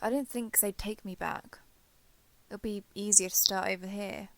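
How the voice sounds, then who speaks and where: A woman's voice: medium pitch, steady tone, vocal fry, no echo, natural voice. A woman playing a shy, guarded villain turned reluctant ally in a roleplay audio drama, speaking hesitantly and with reserve. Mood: subdued, resigned. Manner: hesitant, halting, reserved, understated. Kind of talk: roleplay audio drama, intimate one-sided dialogue.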